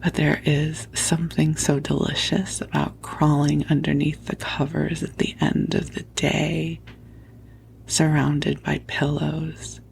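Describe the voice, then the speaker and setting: Slow, whispering woman, soothing sleep host. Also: low-pitched, flat delivery, a little hiss or hum, poor audio. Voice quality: hushed, breathy whispering. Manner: soothing, tender, unhurried, affectionate. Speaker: sleep podcast host and spiritual teacher. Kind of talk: whispered guided sleep talk, spiritual meditation. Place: at home under a blanket. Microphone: close microphone.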